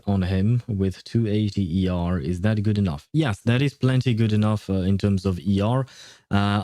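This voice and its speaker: Low, mellow man chatting on stream. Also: dry recording, studio quality. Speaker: livestream host. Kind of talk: casual game livestream chat with viewers.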